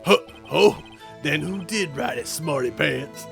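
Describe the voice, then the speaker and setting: Guy doing a bumbling game detective, blustery and flustered, bright forceful voice w some gravel. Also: on the high side, unhurried, faint music behind, full character voice. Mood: indignant. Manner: stammering, folksy, says pal.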